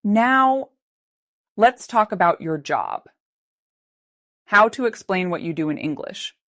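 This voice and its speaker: A woman narrating a scripted English lesson as the teacher, speaking slowly and carefully with clear, deliberate articulation. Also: medium pitch, animated, a bit bright, no room sound, clean studio sound.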